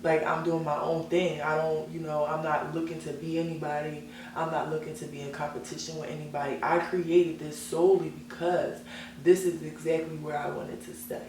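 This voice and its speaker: A woman's voice: low voice, little pitch movement, moderate pace, a little mellow. A young woman singer as an interview guest, talking about herself in earnest, self-assured casual chat. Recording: echoey room, mic at a distance, background hum.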